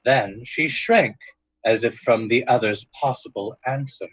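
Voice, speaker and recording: An actor narrating an eerie period tale in the style of an old-time radio drama. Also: male voice, mid-range voice, measured pace, breathy edge, dry recording, rough recording. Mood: measured suspense.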